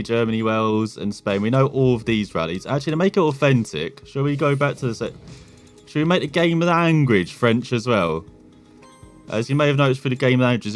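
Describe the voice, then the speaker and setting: English gaming host, male, mid-pitched; chatty, self-mocking, rambling. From England. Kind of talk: casual gameplay commentary.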